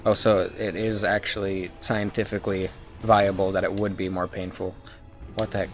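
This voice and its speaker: A young man streaming a video game, talking to his chat in a soft whisper with mouth noises, his throat sore from whispering. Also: sits low, flat delivery, moderate pace, soft background music. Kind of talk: casual gaming commentary, trying ASMR-style whispering.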